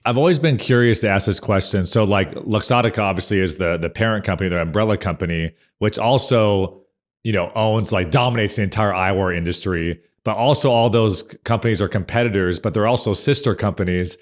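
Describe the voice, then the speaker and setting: A man hosting a casual interview podcast, asking questions in a relaxed, agreeable way. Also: fairly low pitch. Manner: relaxed, agreeable, quick to chime in. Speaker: podcast host.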